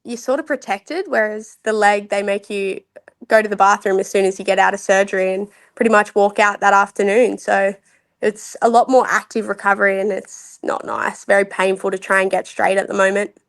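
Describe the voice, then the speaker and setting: A woman's voice: medium pitch, steady tone, fairly quick. A young woman, a rugby league player talking openly and easily as a podcast guest. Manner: open, easygoing, candid. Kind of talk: casual interview chat.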